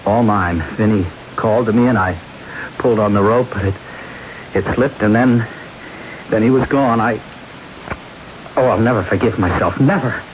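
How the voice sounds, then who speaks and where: An American man acting an intense, insistent young climber in love, with dramatic, halting old-time radio delivery. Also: lowish voice, close to an everyday voice, poor audio. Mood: brooding, remorseful. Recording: old radio broadcast, loud rain-like noise.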